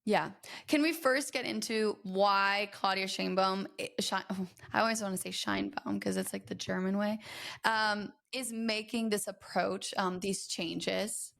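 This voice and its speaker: A woman hosting a trade podcast, chatty and rambling with many fillers, her voice slightly raspy from a recent illness. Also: medium pitch, steady tone, unhurried, fairly bright tone. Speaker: podcast host. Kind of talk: casual podcast intro.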